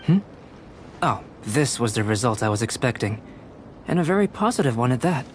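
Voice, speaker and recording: A man voicing a calm, detached young alchemist in an anime-style video game, speaking in a measured, precise, professorial way. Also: medium pitch, slight background noise, natural voice. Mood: clinical, assured.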